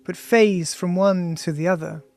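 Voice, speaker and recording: A man reading his own book as scripted audiobook narration in a studio, measured and contemplative, with deliberate pauses. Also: high-pitched, steady tone, vocal fry, darkish tone. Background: music.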